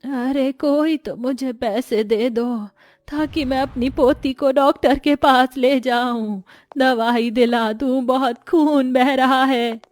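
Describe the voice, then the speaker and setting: A woman voicing a poor, distressed old grandmother who sells onions, in a plaintive, drawn-out manner for a cartoon story. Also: high voice, fairly even intonation, darkish tone, soft background music. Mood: desperate.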